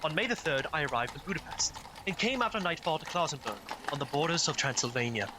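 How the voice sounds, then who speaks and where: A man's voice: on the high side, animated, fast, noisy background, close to an everyday voice. An actor playing an earnest, anxious young lawyer's clerk narrating his journal in a dramatic radio play style. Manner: dramatic, urgent, mounting dread.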